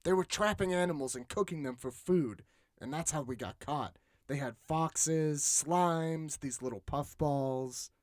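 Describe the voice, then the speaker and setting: A man's voice: a little high, measured pace, dry recording, clean studio sound, close to an everyday voice. A man acting a young swordsman in a fantasy audiobook, protective and caring with a short fuse. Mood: reluctant, earnest. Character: young male swordsman, protective boyfriend, exasperated but caring.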